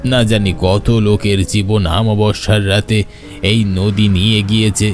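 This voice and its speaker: Male actor as solemn village uncle, horror cartoon. Low-pitched, ominous, faint music behind. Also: little pitch movement, measured pace, natural voice. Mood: grave, ominous. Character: grave village uncle warning of a cursed river. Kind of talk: cartoon horror drama acting.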